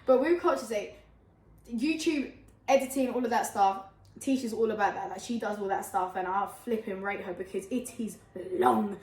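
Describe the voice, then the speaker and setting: A young woman from Colombia co-hosting a chat show, loud and hyper, laughing often as she talks. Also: medium pitch, moderate pace, reverberant. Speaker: podcast co-host. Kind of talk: casual chat.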